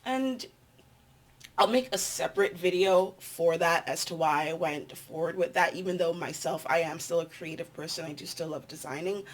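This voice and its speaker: A young adult woman with a nasal voice, chatting casually to her vlog audience in a meandering, filler-filled way. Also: fairly low pitch. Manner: chatty, meandering, with frequent ums and likes. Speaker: vlogger.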